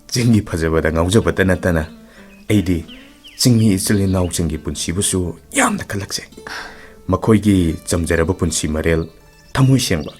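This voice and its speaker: Deep-voiced, fast-talking young male lover. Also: animated, a little mellow, faint music behind. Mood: tender, reflective. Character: ardent young male lover, apologetic and tender. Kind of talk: romantic radio play acting.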